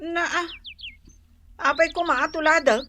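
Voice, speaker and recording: A woman's voice: high voice, fairly even intonation, a little slow, bright, forceful tone, a little hiss or hum. A woman playing a peevish, envious younger sister in an Ilocano Filipino radio comedy drama, with a whiny, sulky delivery. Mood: envious, scornful. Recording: music behind the voice.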